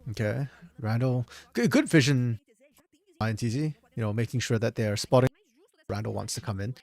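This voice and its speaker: A man with a slightly hoarse voice, reviewing a match on his own in a casual, think-aloud way with frequent restarts. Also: mid-range voice, animated, normal speed, darkish tone, no echo. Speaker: esports caster doing a solo VOD review. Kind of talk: casual solo game analysis commentary.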